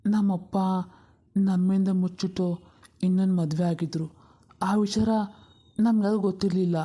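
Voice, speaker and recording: Woman voicing an earnest, mellow-toned pleading younger brother. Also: mid-range voice, steady tone, moderate pace, close to an everyday voice. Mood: earnest, pained. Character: earnest, compassionate younger brother pleading for his half-sister. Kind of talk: dramatic cartoon story dialogue.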